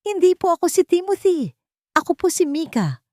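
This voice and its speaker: A woman's voice: very high voice, big pitch swings, normal speed, a little mellow, no echo, clean studio sound, pitched above the performer's own voice. A woman voicing a frightened, crying little girl in an audio drama, sobbing and pleading. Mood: frightened, tearful.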